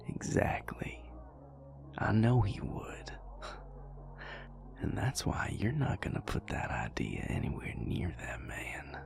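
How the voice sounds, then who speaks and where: Man voicing a male vampire lover, audio roleplay, heavy vocal fry, widely swooping murmur, stubborn, fond, teasing, loud music behind. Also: huge pitch swings, slow, pauses often, whispered, full character voice. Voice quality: gravelly, breathy low murmur. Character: dry, affectionate, stubborn Southern male vampire boyfriend. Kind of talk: intimate scripted audio roleplay. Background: club music.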